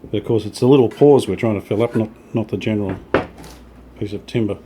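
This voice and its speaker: A man with a fairly low, soft-edged, mellow voice narrates his workshop job casually as he works. He thinks aloud in an understated, slightly tentative way. There is noticeable background noise, with rubbing and sanding sounds. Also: quick pace. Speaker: host of a hobby radio restoration channel. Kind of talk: casual hands-on workshop narration while working. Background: rubbing and sanding while working.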